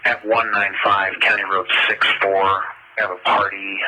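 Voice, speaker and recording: A man's voice: sits low, little pitch movement, moderate pace, a loud crowd, rough recording. A man making clipped police reports over a two-way radio on a scanner recording. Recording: scanner radio, faint static.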